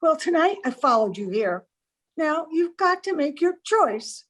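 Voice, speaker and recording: A woman's voice: high voice, sing-song, measured pace, a bit bright, exaggerated voice. A woman playing a brassy, slangy hired woman posing as a wronged wife in an old-time radio comedy. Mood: accusing. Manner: slangy, brash, blunt. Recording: amateur group video-call recording.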